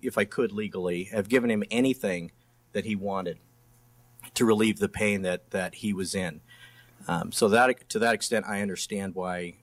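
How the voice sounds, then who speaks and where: A man's voice: fairly low pitch, fairly bright tone. A middle-aged man speaking as a candidate and district attorney in a debate, plain-spoken and often restarting his phrases. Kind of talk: political debate speech.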